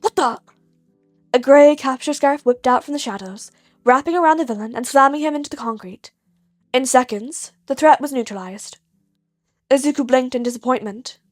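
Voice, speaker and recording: A woman's voice: sits high, moderate pace, no echo, studio quality, soft background music. A woman reading a scripted fan fiction story aloud as its narrator. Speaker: podfic narrator.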